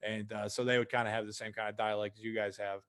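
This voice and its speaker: A man's voice: lowish voice, level tone, fast, fairly bright tone, clear, resonant tone, no echo, clean studio sound. A young man chatting casually as a podcast guest, easygoing and rambling with many restarts. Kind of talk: casual interview chat.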